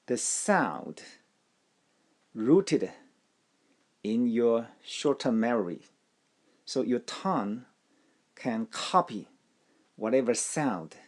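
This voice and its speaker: A man whose first language is Taiwanese gives a language lesson, speaking deliberately and often repeating his phrases. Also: mid-range voice, a little mellow. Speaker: language teacher. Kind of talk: slow instructional talk.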